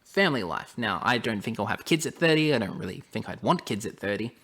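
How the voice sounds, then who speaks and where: A man's voice: fairly low pitch, lively intonation, fast, fairly bright tone. A young man, giving a casual, conversational how-to talk and repeating phrases for emphasis. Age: 20s. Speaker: lifestyle vlogger. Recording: echoey room.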